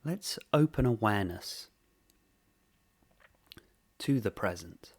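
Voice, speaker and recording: Very slow, low-voiced man guiding meditation. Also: lively intonation, husky. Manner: gentle, unhurried, with long pauses between short phrases. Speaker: meditation guide. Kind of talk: guided mindfulness meditation.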